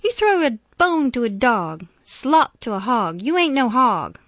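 A woman voicing a big, grieving elderly godmother with a rural Southern US accent, pleading emphatically in drawn-out words. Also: medium pitch, lively intonation, no echo, dull audio, lightly acted. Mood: fierce pleading.

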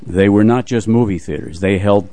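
An elderly man hosts a music show in a fairly low voice. He reminisces in a measured, conversational way, circling back over his phrases. The sound is very dry, as in a studio. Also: mellow, no room sound. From United States, New Jersey area. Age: 80s. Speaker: radio music show host. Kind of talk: casual reminiscing radio talk. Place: radio studio.

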